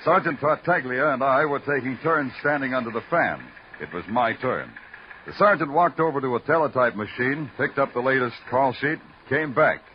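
Actor as brooding hard-boiled detective, sweeping pitch. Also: male voice, animated, a little hiss or hum, natural voice. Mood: grim narration. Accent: American. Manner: brooding, poetic, deliberate delivery. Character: hard-boiled, world-weary New York police detective narrating his beat. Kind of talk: 1940s-50s radio noir acting. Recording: dull old radio recording with music.

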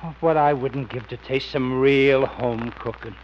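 An actor playing an affable, wheedling, sentimental small-time burglar in 1950s radio comedy, speaking in casual, folksy slang. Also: male voice, mid-range voice, steady tone, measured pace, close to an everyday voice. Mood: wistful. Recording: muffled, faintly noisy old radio recording.

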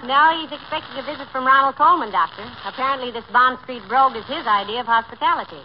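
Muffled noisy vintage radio, woman acting a skeptical wife, high voice, dry, gently chiding, old comedy style. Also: fast, lightly acted. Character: patient, drily skeptical wife, middle-aged.

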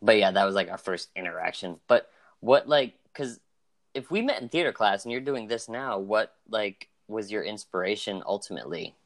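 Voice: low-pitched, expressive, moderate pace. A podcast host in their twenties asking casual, friendly, teasing questions. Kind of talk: casual chat.

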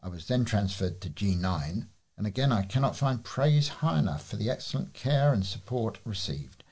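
Husky, low British man reading aloud. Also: expressive, moderate pace, a little mellow. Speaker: volunteer newspaper reader. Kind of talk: reading readers' letters aloud.

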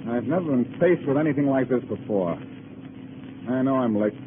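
A man's voice: mid-range voice, lively intonation, normal speed, natural voice. A man acting a brash, determined amateur sleuth in 1940s radio style, wisecracking and pressing with his questions. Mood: grim, determined. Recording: noisy, narrow-band old radio recording.

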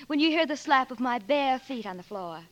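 An actress in a 1940s radio drama playing a sharp-tongued, sarcastic reporter, dry, tart and quick-witted. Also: female voice, high voice, lively intonation, highs cut off. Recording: 1940s radio broadcast, music behind.

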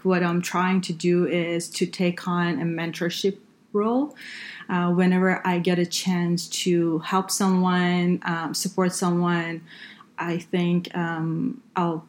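A woman from Iran, Farsi her first language, a guest in a casual podcast interview, speaking reflectively with hesitations and soft laughs. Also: lowish voice. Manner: reflective, hesitant with many fillers, laughs softly. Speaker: guest engineer.

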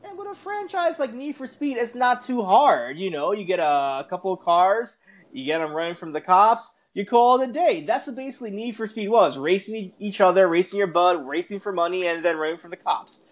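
A man hosting a podcast, chatting casually in an animated, slangy way with plenty of swearing. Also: sits high, brisk. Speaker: podcast host. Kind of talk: casual ranting movie chat.

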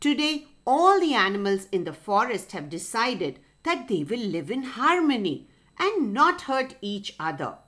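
A woman's voice: fairly high pitch, very animated, unhurried, a bit bright. A woman doing a cunning, wheedling fox. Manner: wheedling, then shaky. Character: cunning male fox, scheming then panicky.

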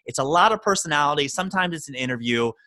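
Podcast guest guy, talks fast and bouncy, pitch jumps all over, dry close mic. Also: no echo. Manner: animated, quick storytelling. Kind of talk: casual podcast chat. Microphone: podcast microphone.

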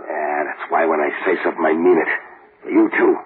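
Low-voiced, wry scheming convict. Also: male voice, fairly even intonation, a little fast. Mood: conspiratorial. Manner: slangy, sly, fatalistic. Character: wry, scheming death-row convict plotting escape. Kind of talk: 1930s radio drama acting. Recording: tinny, hissy 1930s radio recording.

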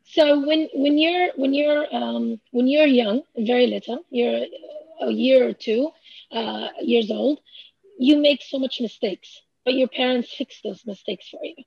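High, gravelly-voiced woman. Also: unhurried, no room sound. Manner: thoughtful, many fillers and restarts. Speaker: author and language researcher. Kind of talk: interview answers. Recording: slightly dull lo-fi sound.

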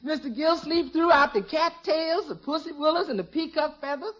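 An actress playing a good-humoured, frank housekeeper in a 1950s radio comedy, with folksy, droll delivery. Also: female voice, high voice, expressive, moderate pace, a bit bright. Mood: gleeful. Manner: folksy, dialect grammar, droll repetition. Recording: dull old radio recording.

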